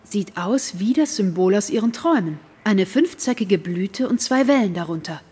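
A woman narrating a radio play in a calm storytelling manner. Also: mid-range voice, normal speed, husky.

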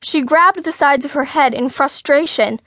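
A woman's voice: high voice, normal speed, a bit bright, no room sound. A woman reading a children's story in the first person, as an indignant, outspoken sixth-grade girl. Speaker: audiobook narrator.

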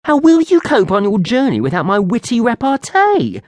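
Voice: medium pitch, huge pitch swings, normal speed, a little mellow, no room sound, studio quality, voice pushed up. A storyteller voicing a vain, witty male fairy who mocks everyone in a theatrical way. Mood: mocking. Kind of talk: audiobook character voice.

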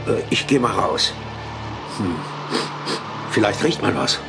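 An actor playing an uneasy deputy engineer on an oil rig in a German audio drama, talking colloquially and hesitantly. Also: male voice, fairly low pitch, big pitch swings, takes it slowly, over loud music, exaggerated voice.

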